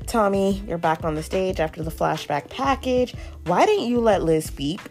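A woman hosting a podcast, giving a sassy, opinionated recap of a dating show with lots of slang and quick asides. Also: medium pitch, expressive, a little mellow, faint music behind. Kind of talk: casual recap commentary.

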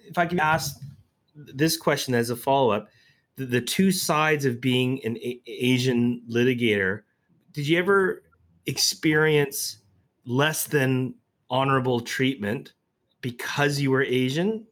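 A man's voice: mid-range voice, unhurried, fairly bright tone. A man interviewing a guest, asking careful follow-up questions and restarting words as he goes.

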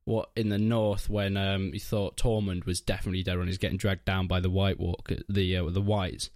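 Low-voiced young British man, close and dry. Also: little pitch movement, normal speed, darkish tone, no echo. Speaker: podcast co-host. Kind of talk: casual chat among friends.

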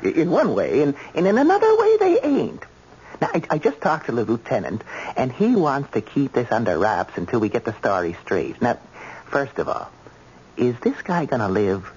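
An actor playing a gossipy, eager, folksy police sergeant in a radio drama, colloquial, animated and emphatic. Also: male voice, mid-range voice, moderate pace, breathy edge. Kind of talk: 1970s radio drama acting. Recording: muffled, noisy old radio recording.

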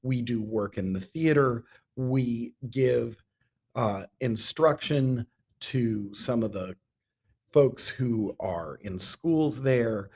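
Middle-aged male interview guest, mid-range slightly rough voice, measured, emphatic phrasing. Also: mid-range voice, darkish tone. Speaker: interview guest, theater artistic director. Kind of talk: conversational interview answers.

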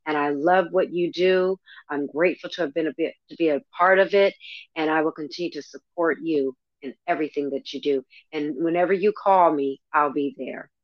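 A woman's voice: sits low, a little fast, dry recording. A woman guest storyteller and poet, speaking in a lyrical spoken-word way. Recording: dull, lo-fi audio.

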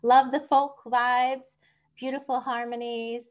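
A woman's voice: on the high side, flat delivery, takes it slowly. A woman from northwest Spain hosting an online open mic in casual, gushing, exclamatory chat.

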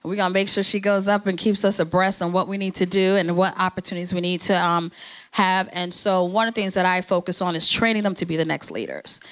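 A woman's voice: on the low side, fast, a bit bright. A woman from New Jersey, the president of an organization, giving a conversational, encouraging speech at a networking event. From New Jersey, USA.

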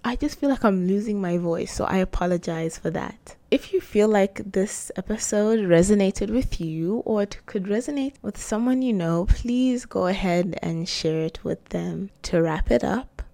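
A young woman in her twenties hosts her own podcast in a chatty, animated way, speaking right to her listeners. Her voice is mellow and sits in a middle range, and it has gone a bit hoarse as she loses it. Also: mid-range voice, moderate pace, hardly any pauses. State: losing her voice. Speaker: podcast host. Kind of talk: solo podcast monologue.

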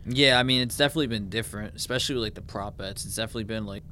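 A man co-hosting a podcast in loose, halting casual chat peppered with "like.". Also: fairly low pitch, pushed and bright, a little hiss or hum. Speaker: podcast co-host.

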